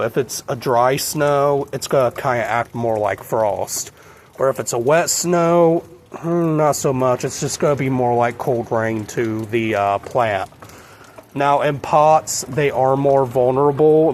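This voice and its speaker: A man with a hoarse voice from a cold, chatting in an easygoing, rambling way in a casual plant vlog. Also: medium pitch, darkish tone, slight background noise. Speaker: plant vlogger.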